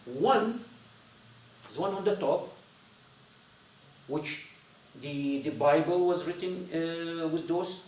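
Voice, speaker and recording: A man with a Syrian accent, a native Arabic speaker, gives an informal lecture in a halting, repetitive way, searching for words. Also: a little high, a little mellow. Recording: roomy echo, distant mic, faint noise.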